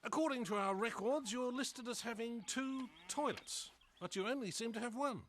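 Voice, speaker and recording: An actor playing a prim, fussy bank official who speaks formally and precisely in a film comedy-drama. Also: male voice, very high-pitched, normal speed, fairly bright tone. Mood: officious.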